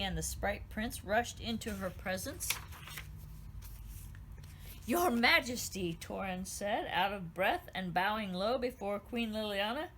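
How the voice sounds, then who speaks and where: A woman reading her own children's story aloud in an expressive, lively storytelling manner. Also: medium pitch, a little hiss or hum, poor audio.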